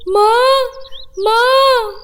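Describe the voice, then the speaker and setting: A woman's voice: extremely high pitch, steady tone, drawn-out words, voice pushed up, exaggerated voice. A woman voicing a dramatic, scheming young crow boy in a children's cartoon story. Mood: tearful pleading.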